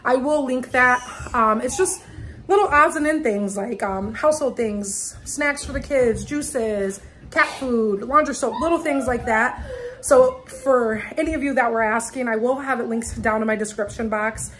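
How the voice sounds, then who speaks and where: A woman's voice: on the high side, moderate pace, a bit bright, poor audio. A woman in her thirties, a mother of three, chatting casually and rambling to a camera held at arm's length in her home. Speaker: vlogger, single mom of three. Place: home dining area indoors. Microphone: phone or camera at arm's length.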